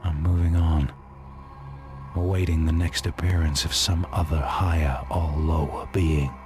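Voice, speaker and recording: A man's voice: extremely low pitch, steady tone, a little slow, over music. An actor playing a cynical, hardened dead gunman in a video game, brooding and narrating in a grim, world-weary, sardonic way.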